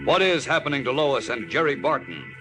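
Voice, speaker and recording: A man narrates an old radio serial with melodramatic emphasis. His pitch is average for a man, and music plays loudly behind him. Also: very animated, a little fast, rough recording. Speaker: announcer and narrator.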